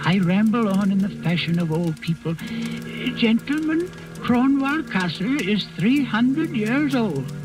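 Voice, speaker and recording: High-voiced, rambling old castle servant. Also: male voice, big pitch swings, a little slow, poor audio. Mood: confiding, wry, then dreamy, declaiming. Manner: rambling, deferential, theatrical. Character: rambling, sly old Danish castle servant feigning senility. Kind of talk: 1940s radio drama acting, narrating. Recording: old radio recording with music behind.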